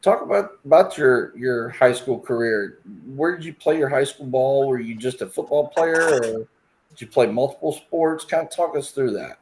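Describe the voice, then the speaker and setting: A man's voice: medium pitch, normal speed, a little mellow, slight background noise, poor audio. A man hosting a sports scouting interview, asking questions in an easygoing way and acknowledging answers with "okay".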